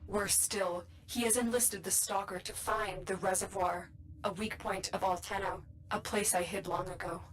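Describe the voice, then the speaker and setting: An actress playing a grave, anxious guide who commands warrior operatives in a video game, speaking in a solemn, measured way. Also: female voice, medium pitch, fairly even intonation, a little hiss or hum, natural voice, rough recording. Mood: grave, urgent. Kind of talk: scripted video game voice acting.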